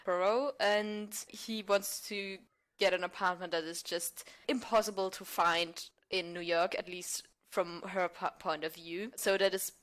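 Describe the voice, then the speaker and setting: A woman's voice: lowish voice, fairly even intonation, unhurried, fairly bright tone. A woman who acts in amateur theatre, chatting casually as an interview guest. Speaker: podcast guest, amateur actor.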